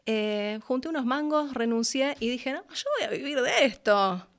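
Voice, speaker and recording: Expressive Argentine woman chatting. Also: sits high, moderate pace. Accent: Argentine Rioplatense. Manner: expressive, chatty, acts out her own past thoughts. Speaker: interview guest, voice actress and announcer. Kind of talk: casual conversational storytelling.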